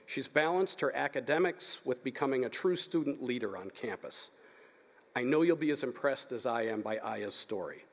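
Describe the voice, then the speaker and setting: A man giving formal, steady announcements as presenter at a graduation ceremony. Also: mid-range voice, moderate pace. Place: large hall. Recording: long reverb of a large hall.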